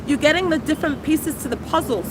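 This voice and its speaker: A woman in her forties with a British accent talks on a livestream in a high, bright voice, chatty and quick to exclaim. She stands on a busy street with her phone held out. Traffic hums loudly behind her. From United Kingdom. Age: 40s. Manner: chatty, exclamatory, warm asides. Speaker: vlogger. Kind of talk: casual livestream chat. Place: outdoors on a city street. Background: passing traffic.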